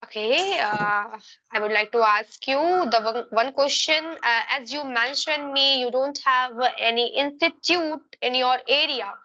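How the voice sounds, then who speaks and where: A woman whose first language is Hindi, giving earnest, halting advice in a casual English practice conversation over a call. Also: high voice, pushed and bright, lo-fi sound. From India.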